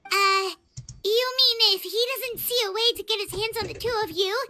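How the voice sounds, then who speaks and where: Tiny, squeaky childlike companion. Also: female voice, moderate pace. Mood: alarmed. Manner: squeaky, animated. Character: small, squeaky, childlike companion, earnest and anxious. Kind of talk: video game voice acting.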